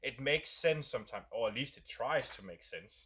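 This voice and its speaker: A man's voice: medium pitch, lively intonation, normal speed, bright, forceful tone. A man with a Danish accent, a game designer casually explaining a new roleplay setup while thinking aloud and searching for words.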